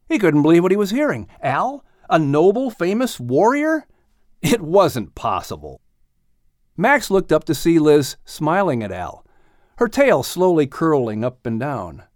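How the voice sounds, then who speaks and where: Male storyteller for children's audiobook, expressive scripted read, lively melody, dry intimate sound. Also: on the high side, slow, dry recording. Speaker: audiobook narrator.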